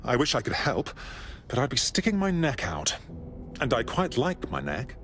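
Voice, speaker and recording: A man's voice: mid-range voice, wildly swooping pitch, normal speed, a bit bright, slight background noise. A British man playing a genteel, dryly witty resistance recruit in a video game, polite, arch and understated. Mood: dry, concerned. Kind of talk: video game cutscene acting.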